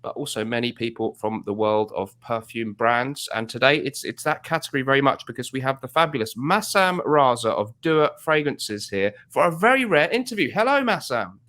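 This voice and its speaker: A man's voice: medium pitch, animated, moderate pace, few pauses, fairly bright tone. A man based in the UK hosting a fragrance interview over a video call, upbeat and chatty with frequent restarts. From United Kingdom. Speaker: host.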